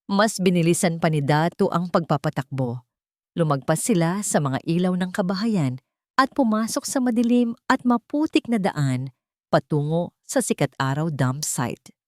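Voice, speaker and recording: Low-voiced woman narrating a dark tale. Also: expressive, normal speed, dry recording, clean studio sound. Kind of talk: scripted dramatic narration.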